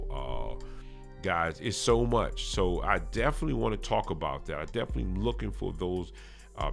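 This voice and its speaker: A man hosting a podcast, talking casually to live viewers in an earnest, halting way with stammered restarts and rhetorical questions. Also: sits low, gravelly, fairly bright tone. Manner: halting, repetitive phrasing with frequent stammered restarts. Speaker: podcast host.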